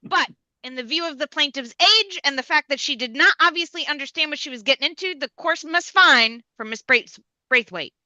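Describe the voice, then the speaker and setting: A woman's voice: sits high, lively intonation, fairly quick, pushed and bright, no echo, exaggerated voice, poor audio. A woman playing a stern, no-nonsense courtroom judge, authoritative and formal, in a live comedy table read. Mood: ruling.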